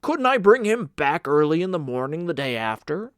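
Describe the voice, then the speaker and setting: A man reading an audiobook as a stout, kindly gentleman who is eager to please, coaxing and jovial. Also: fairly high pitch, sing-song, moderate pace, clean tone, dry recording, clean studio sound. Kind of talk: audiobook character dialogue.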